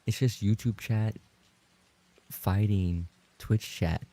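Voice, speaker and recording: Male streamer, lowish and somewhat dark voice, loose casual banter with his audience. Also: steady tone, slow. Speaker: live streamer and voice actor. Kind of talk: casual livestream chat with viewers.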